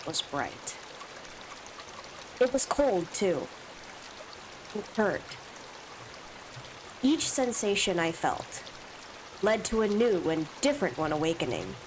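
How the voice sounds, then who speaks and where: Slow-talking woman streamer with allergies. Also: medium pitch, very animated, noisy background. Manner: slow, reads first-person game text with asides. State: stuffy from allergies. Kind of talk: reading a visual novel aloud on a live stream.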